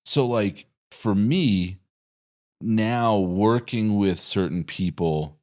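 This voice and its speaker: A man's voice: on the low side, extremely slow, a little mellow. A man in his thirties hosting a podcast, chatting casually in a rambling way and cursing freely. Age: 30s. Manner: rambling, swears freely, acts out quotes.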